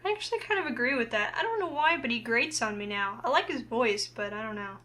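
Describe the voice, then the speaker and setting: A woman's voice: a little high, lively intonation, fast talker, bright, forceful tone. A woman hosting a let's play, giving casual commentary on a game.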